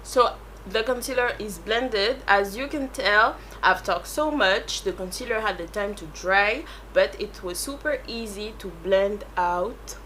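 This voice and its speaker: A woman's voice: mid-range voice, a little slow, a bit bright, slight background noise, rough recording. A French-accented woman, a native French speaker, chatting casually through a makeup tutorial and rambling as she searches for English words. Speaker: beauty YouTuber.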